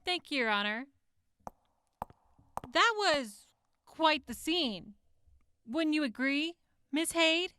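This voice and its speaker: A woman's voice: high voice, expressive, slow, pauses often, bright, forceful tone, slight background noise, heavily acted. A woman playing a skeptical, mock-polite prosecutor who draws out pointed questions in an audio drama courtroom. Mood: probing, mock-polite. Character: skeptical, needling female prosecutor in wasteland court.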